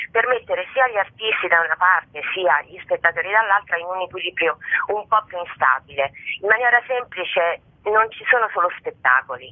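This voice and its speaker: A woman speaking as an artistic director in a radio interview, explaining in abstract, intellectual phrasing. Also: fairly low pitch. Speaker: festival artistic director and guest. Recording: narrow, tinny phone line.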